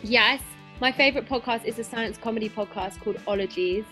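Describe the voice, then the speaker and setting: A woman's voice: on the high side, fairly quick, over music. A young woman in a band, chatting casually as an interview guest on a video call. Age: young adult. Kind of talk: casual chat.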